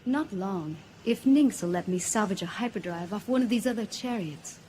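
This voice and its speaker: An actress playing a sly, sardonic smuggler with a sultry, teasing manner in an audio drama. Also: female voice, medium pitch, expressive, moderate pace, dark tone, a little hiss or hum, close to an everyday voice, low-quality recording. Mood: shrewd. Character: sly, sardonic female smuggler, a jilted old flame. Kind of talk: space-opera audio drama acting.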